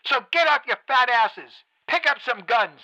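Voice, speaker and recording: A frantic male radio DJ rants and pleads to his listeners in a high voice. The tone is sharp and very bright. It sounds like a radio drama. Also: expressive, moderate pace, lo-fi sound, full character voice. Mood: furious. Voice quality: ranting, pleading. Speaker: radio host.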